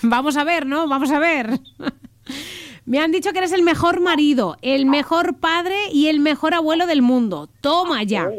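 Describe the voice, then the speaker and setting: Una mujer manchega con acento de La presenta un programa matinal de radio. Su voz es alta y clara. Bromea sin parar con quien llama. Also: female voice, sits high, moderate pace, no room sound. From La Mancha, Spain. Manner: chatty, teasing, laughs often. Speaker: radio host. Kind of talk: morning radio birthday calls.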